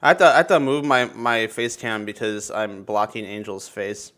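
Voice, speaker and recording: A man hosting a casual, excitable podcast chat, informal and sweary in his talk. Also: lowish voice, normal speed. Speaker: podcast host.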